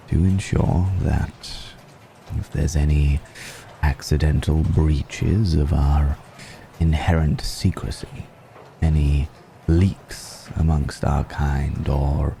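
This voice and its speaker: Guy doing a suave menacing vampire lord for asmr, really slow and unhurried, creaky voice, courteous but teasing. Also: extremely low pitch, warm tone, slight background noise, heavily acted. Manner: unhurried, genteel, teasing, with drawn-out pauses. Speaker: voice actor. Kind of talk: ASMR roleplay, intimate dramatic monologue.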